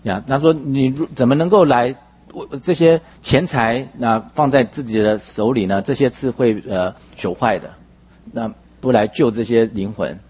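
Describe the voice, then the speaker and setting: A man interpreting a sermon phrase by phrase, husky and somewhat halting, rephrasing as he goes. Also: medium pitch, a little mellow.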